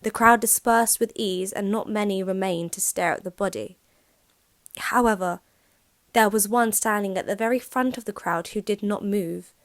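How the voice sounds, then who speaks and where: A woman reads as the narrator of an audio drama in a medium-pitched voice. The storytelling is scripted and dramatic, captured dry with no room sound. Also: moderate pace, no room sound.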